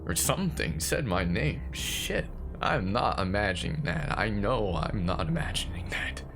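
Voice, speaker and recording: Casting: male actor as grieving, nervy man confessing into voice notes for a horror drama; low, creaky voice, stammers and second-guesses himself. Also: big pitch swings, unhurried, over music, heavily acted. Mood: shaken, self-doubting. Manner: jittery, stammering, self-questioning. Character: anxious grieving man, haunted older brother recording voice notes. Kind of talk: found-footage horror audio monologue, confessional voice notes.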